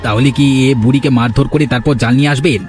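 A man's voice: mid-range voice, steady tone, fast talker, darkish tone, close to an everyday voice. A man voicing a deferential, scheming henchman of a village boss in a fairy-tale cartoon, eager to please and conspiratorial. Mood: conspiring. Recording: music behind the voice.

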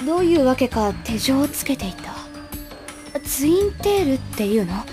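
A woman playing a serious, dutiful teenage girl agent in an anime audio drama, speaking earnestly, politely and gravely. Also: high voice, big pitch swings, moderate pace, music in the background.

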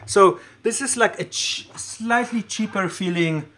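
Middle aged guy from poland, voice a bit on the high side, chatty livestream show and tell, kinda halting and cuts himself off. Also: pushed and bright. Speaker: livestream host showing collected keyboards. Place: indoor room at a desk.

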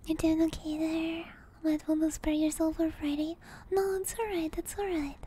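A woman hosting an ASMR livestream, speaking softly and soothingly in a gentle, deliberate manner close to a binaural microphone. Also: very high-pitched, little pitch movement. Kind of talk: ASMR, soft whispered personal attention. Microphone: binaural ASMR microphone at close range.

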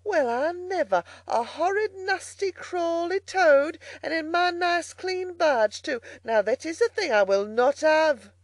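Storybook barge woman, acted by a narrator: hefty, brash, mocking, voice pitched well up. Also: animated, moderate pace, no echo, lightly acted. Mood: disgusted, scolding. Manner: mocking, scolding.

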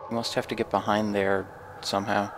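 A man with a low, creaky voice plays a horror game and comments casually as he goes. He speaks in a hushed, nervous mutter. Noise and game ambience sit clearly behind him. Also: little pitch movement, fairly quick. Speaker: let's player. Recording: noisy home recording, clear background noise.

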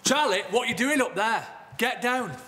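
A man's voice: very high voice, animated, normal speed, pushed and bright, heavily acted. An actor playing an exasperated male warehouse worker in a naturalistic training drama, scolding a colleague insistently. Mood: exasperated, alarmed.

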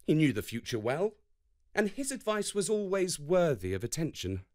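A man's voice: fairly high pitch, big pitch swings, moderate pace, darkish tone. A man narrating a scripted children's story as a storyteller.

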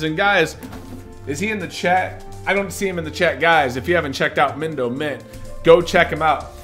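A young man with a hoarse, scratchy voice, chatting upbeat and casually as a livestream host while greeting viewers. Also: a little high, fairly bright tone. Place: indoors at a desk.

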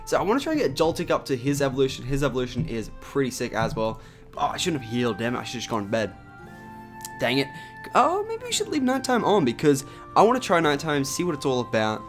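Young Australian guy commentating a game, raspy morning voice, rambling and chatty, faint guitar music behind. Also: mid-range voice, huge pitch swings, quick pace. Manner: chatty, rambling with many "like" and "um" fillers. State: raspy from just waking up. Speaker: gaming YouTuber. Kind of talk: casual gameplay commentary.

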